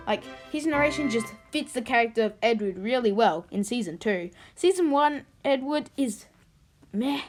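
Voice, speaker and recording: A video host giving informal, opinionated commentary in a casual style. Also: medium pitch.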